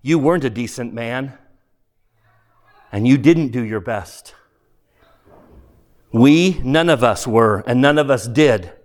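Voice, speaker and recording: A man voicing a serene, gently amused heavenly spirit in a storybook, speaking with calm candour. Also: mid-range voice, slow, pauses often. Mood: amused, gentle. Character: serene, mirthful heavenly spirit of a forgiven male murderer. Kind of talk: dramatised audiobook character voice.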